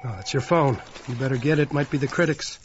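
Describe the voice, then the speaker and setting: An actor as a flattering suitor, muffled audio. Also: male voice, medium pitch, quick pace, lightly acted. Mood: admiring. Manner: flattering, courteous. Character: suave, attentive male musician suitor. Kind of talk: audio drama acting. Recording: dull lo-fi audio with background noise.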